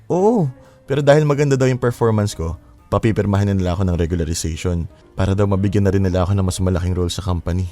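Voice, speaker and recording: A man playing an affectionate, hopeful young boyfriend in a Filipino radio drama, speaking gently. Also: low voice, quick pace, soft background music, close to an everyday voice. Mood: affectionate, grateful.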